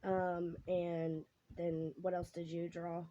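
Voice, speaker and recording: A woman, hoarse from losing her voice, chatting casually to her viewers in a rambling way. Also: on the low side, level tone, slow, warm tone. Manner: rambling, many ums and self-corrections. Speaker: vlogger. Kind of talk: casual chat to camera. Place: home kitchen while cooking. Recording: dull lo-fi home recording, faint noise.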